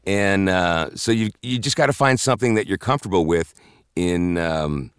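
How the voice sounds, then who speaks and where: A man who works as a voiceover announcer, chatting in an interview with a deep voice and a halting, filler-heavy way of talking. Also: smooth, no room sound, clean studio sound. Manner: rambling, halting, with many fillers and restarts. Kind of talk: casual interview chat.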